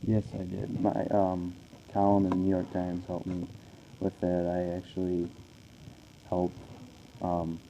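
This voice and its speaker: A man's voice: deep voice, monotone, measured pace, dark tone, a little hiss or hum, poor audio. A man playing a 1940s baseball star in a radio interview, speaking in a plain, earnest, recited way. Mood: earnest, matter-of-fact. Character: famous 1940s male baseball player being interviewed. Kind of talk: amateur scripted radio interview.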